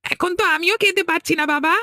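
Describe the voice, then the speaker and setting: A woman's voice: very high voice, brisk, sharp tone, dry recording, clean studio sound. A woman voicing a pleading, anxious young village son in a horror cartoon, with a childlike way of talking. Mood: evasive, anxious.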